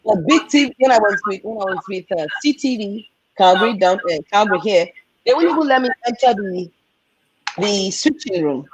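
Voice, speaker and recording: A woman with a Nigerian accent, a film and TV producer, telling animated stories as a guest on a video call. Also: medium pitch, a little fast, rough recording. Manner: animated, repeats words for emphasis. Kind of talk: candid storytelling in a conversation.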